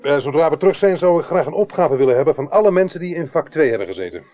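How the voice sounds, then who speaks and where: A man acting a methodical, courteous investigator in a Dutch radio crime drama from the early eighties, reasoning aloud deliberately. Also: fairly high pitch, expressive, a little mellow, natural voice. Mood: inquiring, brisk.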